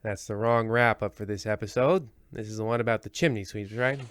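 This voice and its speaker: A man's voice: fairly low pitch, a little fast, fairly bright tone, a little hiss or hum, lightly acted. A man playing a half-hearted horror anthology radio host, his formal patter slipping into offhand grumbling. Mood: offhand, bemused. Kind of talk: old-time radio horror host spoof.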